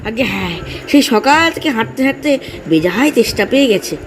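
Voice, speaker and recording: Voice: mid-range voice, expressive, a little fast, lightly acted. A performer in a comic audio drama playing a weary, thirsty male traveller who is polite but exasperated. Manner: pleading, exasperated, polite address. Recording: music behind the voice.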